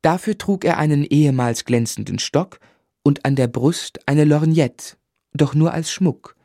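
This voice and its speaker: An actor narrating as a grown man looking back on a lonely boyhood, in measured literary German radio drama style. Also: fairly high pitch, mellow, no room sound, studio quality. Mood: reflective. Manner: reflective, measured storytelling.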